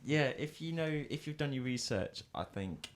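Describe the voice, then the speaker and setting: A man's voice: medium pitch, lively intonation, normal speed, slight background noise. A young British man chatting casually on a podcast, rambling with lots of fillers. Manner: rambling, many fillers and restarts. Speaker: podcast co-host. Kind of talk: casual chat.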